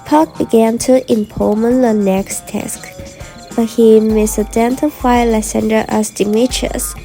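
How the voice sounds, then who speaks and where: Female storyteller: slow, mellow, slightly high voice, scripted narration with faint music behind. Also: fairly even intonation.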